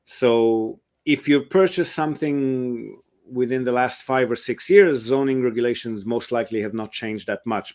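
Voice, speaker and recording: Man explaining property investing, mid-pitched. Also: darkish tone. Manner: fluent, explains step by step with frequent ums and uhs. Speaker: real estate investment advisor, guest. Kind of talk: explanatory interview answers.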